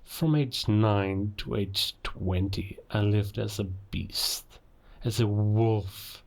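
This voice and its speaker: Man playing a slow, low-voiced, menacing werewolf villain. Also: gravelly, heavily acted. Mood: wistful, proud. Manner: slow, deliberate, pausing, quietly menacing. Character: cold, menacing male werewolf villain, a murderous spy. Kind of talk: cinematic audio roleplay monologue.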